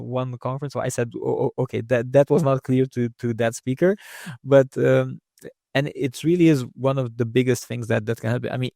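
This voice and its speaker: A man's voice: medium pitch, gravelly, darkish tone, dry recording, clean studio sound. A young man speaking English as a second language with an accent, talking casually as a guest oncologist, often restarting and repeating words. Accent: non-native English. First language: not English. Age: young adult. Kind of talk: casual interview conversation.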